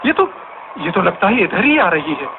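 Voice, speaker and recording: Actor as anxious wandering man, high animated voice. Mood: troubled musing. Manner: theatrical, halting, wondering to himself. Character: anxious lone man wandering and musing aloud. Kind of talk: radio drama monologue. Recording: tinny noisy narrow-band radio recording.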